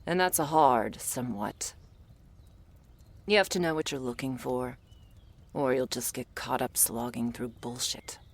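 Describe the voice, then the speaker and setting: A woman playing a gruff, world-weary female monster hunter in an ASMR audio roleplay, curt, sardonic and bossy as she addresses the listener. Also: unhurried, pauses often, exaggerated voice. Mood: dry, matter-of-fact. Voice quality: low, husky, breathy with gravelly creak.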